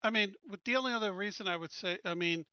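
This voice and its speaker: A man talks casually as a player in a tabletop game. His voice sits a little high and has a bright, forward edge. The recording is dry and a bit muffled. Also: fast talker, no room sound. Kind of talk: casual chat.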